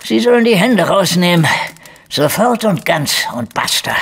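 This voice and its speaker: A man playing a weary, evasive police investigator in a crime radio play, gruff and blunt, with a husky, gravelly voice. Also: high-pitched, sing-song, a little slow, heavily acted. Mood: gruff, warning. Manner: blunt, hesitant, throat-clearing. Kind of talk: German crime audio drama dialogue.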